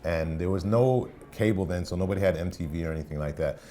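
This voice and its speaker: A middle-aged man from Brooklyn with a New York accent, telling stories in a relaxed chat and leaning on "you know" fillers. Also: low voice, fast talker. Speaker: music video show host and party promoter. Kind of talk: casual conversation. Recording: faint room ambience.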